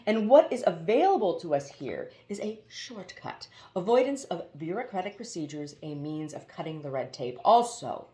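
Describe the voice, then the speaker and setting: A woman's voice: lowish voice, very animated, moderate pace, few pauses, mellow, echoey room. A proud, fussy storybook genie man, performed by a woman reader. He coaxes and explains at length, piling phrase on phrase, with a melody that moves widely. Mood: soothing, explaining. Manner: wordy, coaxing, piling up phrases. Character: proud, fussy, wordy male water genie. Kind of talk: storybook character voice.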